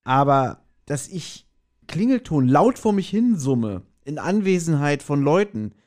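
A man co-hosting a podcast, telling anecdotes in casual, animated chat and acting out bits as he goes. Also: a little high, measured pace. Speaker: podcast co-host.

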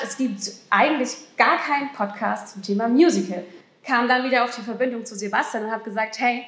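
A woman's voice: a little high, fairly bright tone. A young woman hosting a podcast live on stage, chatty and laughing often. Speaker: podcast host, assistant director. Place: theater restaurant, indoors. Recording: echoey room.

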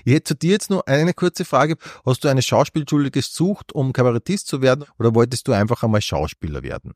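A man's voice: mid-range voice, animated, a little fast, darkish tone, no room sound, studio quality. A man hosting an interview, asking questions casually and backing the guest with short agreements. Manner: attentive, frequent short backchannels. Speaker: podcast host, interviewer. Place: small apartment room at a table.

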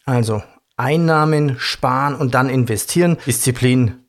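A man's voice: mid-range voice, a little slow. A man hosting an interview, recapping points and asking questions in an informal, colloquial way. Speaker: interview host.

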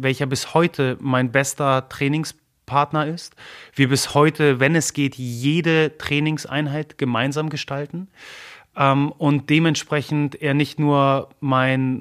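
A man, a native Polish speaker, answers interview questions in a sincere, emotional tone. He speaks haltingly, with frequent filler sounds and restarts, and his voice sits at an ordinary middle pitch for a man. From Germany. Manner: earnest, halting, many fillers and repeated words. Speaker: interview guest. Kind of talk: personal interview talk.

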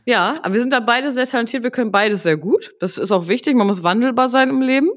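A woman's voice: on the high side, fast. A young woman co-hosting a podcast in casual, playful chat over a remote call, joking and laughing often. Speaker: podcast co-host.

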